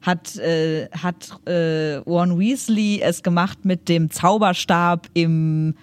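A woman's voice: on the low side, slow, clear, resonant tone, no echo. A woman co-hosting a podcast, chatting casually, teasing and laughing often. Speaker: podcast co-host. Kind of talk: casual chat.

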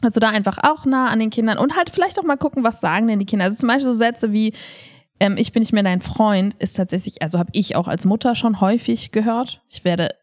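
A woman's voice: a little high, fast. Casual, explanatory woman. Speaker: podcast co-host. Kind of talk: casual podcast chat about children's theatre.

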